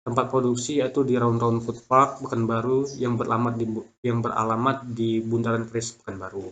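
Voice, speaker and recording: Young male, mid-pitched voice, student presenter reading from prepared text with stumbles and restarts. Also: level tone, a little fast, a bit bright, highs cut off. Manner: stumbles over words and restarts them.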